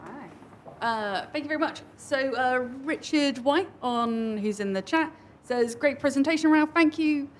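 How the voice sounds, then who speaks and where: Higher-voiced woman with room noise behind. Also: measured pace. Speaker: event assistant relaying online questions. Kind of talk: reading out an audience question. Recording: noisy room ambience.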